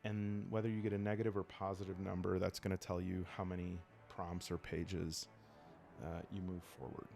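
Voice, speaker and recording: A low-voiced man gives a calm, ASMR-style walkthrough of a tabletop game. His voice has a heavy crackle of vocal fry. He speaks in an unhurried, reflective way, pausing as he goes, with music playing behind him. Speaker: host of a solo role-playing game channel.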